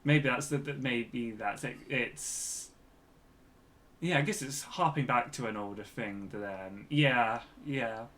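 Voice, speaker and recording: A man co-hosting a podcast in casual chat, reasoning aloud in a halting, repetitive way. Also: medium pitch, a bit bright. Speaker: podcast co-host.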